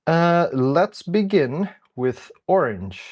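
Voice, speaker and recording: A man's voice: fairly high pitch, very animated, slow pace, darkish tone. A young man with the sniffles, hosting a casual livestream while he works and chatting to viewers as they come in. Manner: chatty, greets viewers by name. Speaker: livestream host. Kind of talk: casual live-stream chat while working.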